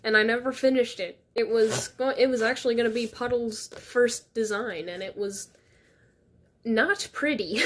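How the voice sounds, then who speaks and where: A woman streaming while crafting, reading chat questions aloud and answering casually, a little stuffed up and sniffly. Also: on the high side. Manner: reads chat questions aloud, chatty and laughing.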